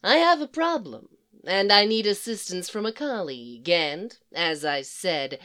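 A woman's voice: medium pitch, a little slow, clean tone. Woman doing a patient, matter-of-fact psychiatrist. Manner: matter-of-fact, even, unflappable. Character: calm, patient man, a literal-minded psychiatrist.

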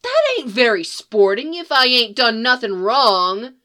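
A woman's voice: a little high, expressive, a little slow, bright, forceful tone. A woman voicing a mouthy, combative eleven-year-old boy who talks back sharply in a storybook reading. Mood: argumentative. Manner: combative, sassy back talk.

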